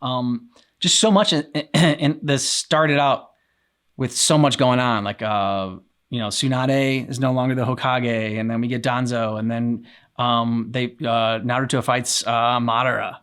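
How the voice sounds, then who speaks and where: A man's voice: medium pitch, steady tone, measured pace, a bit bright, smooth. A young adult man co-hosting a reaction show, talking in a loose, rambling way with many fillers. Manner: rambling, lots of fillers, laid-back. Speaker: reaction channel co-host. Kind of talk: casual reaction chat.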